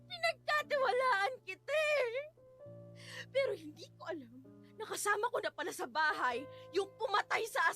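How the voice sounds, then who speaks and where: An enraged widow in a radio melodrama. Her voice is extremely high and forceful, accusing and full of hurt, over background music. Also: heavily acted. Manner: tearful, accusing, impassioned. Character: betrayed, enraged widow confronting her husband's killer. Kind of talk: melodramatic radio drama acting.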